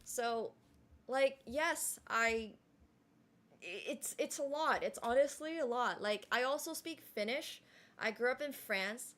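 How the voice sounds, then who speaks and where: A young adult woman whose first language is Mandarin, chatting casually on a live stream and thanking viewers, with frequent "like" fillers and quick restarts. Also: a little high, expressive, a bit bright.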